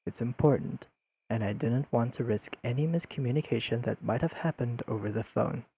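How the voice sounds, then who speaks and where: A man playing a deadpan, pedantic but secretly fond young friend in an intimate audio roleplay, speaking in precise, dryly teasing sentences to the listener. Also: mid-range voice, normal speed, slight background noise, lightly acted. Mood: mock-stern teasing. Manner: deadpan, precise, formal wording with dry teasing.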